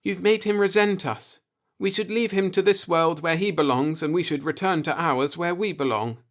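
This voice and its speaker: A man's voice: on the high side, normal speed, pitched above the performer's own voice, close to an everyday voice. A man voicing a cautious, frightened woman from another galaxy in a science fiction audiobook. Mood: anxious pleading.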